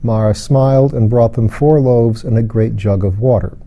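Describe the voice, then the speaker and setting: A man narrating a literary story as an audiobook reader, in a measured, careful manner. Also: medium pitch, lo-fi sound.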